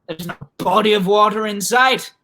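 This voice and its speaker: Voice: mid-range voice, moderate pace, vocal fry, pushed and bright. A performer voicing a cocky, irreverent teenage schoolgirl in an exclamatory, melodramatic manner. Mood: incredulous.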